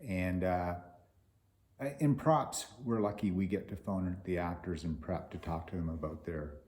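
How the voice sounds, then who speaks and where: A man's voice: low voice, moderate pace, darkish tone. A man telling stories from his working life in film, partly reading aloud from his own memoir. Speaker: film property master and author. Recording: roomy echo.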